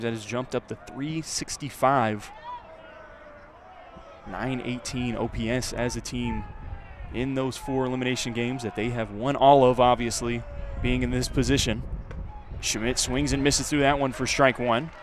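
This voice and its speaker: A man's voice: mid-range voice, normal speed. A man doing live play-by-play baseball commentary as a radio announcer. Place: outdoor ballpark. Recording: steady background noise at outdoor ballpark.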